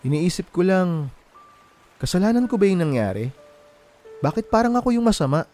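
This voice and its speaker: An actor playing a heartbroken, wronged young boyfriend in a Filipino radio drama, with a plaintive, confiding manner and a Filipino accent. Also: male voice, sits high, sing-song, moderate pace, warm tone. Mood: hurt, indignant. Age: young adult. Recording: music playing behind the voice.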